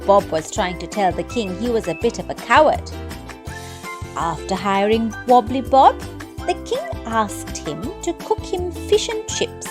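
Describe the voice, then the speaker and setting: A woman reading simple word definitions for children in a careful, clear, explanatory way. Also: on the high side, big pitch swings, measured pace, music in the background. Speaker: vocabulary presenter.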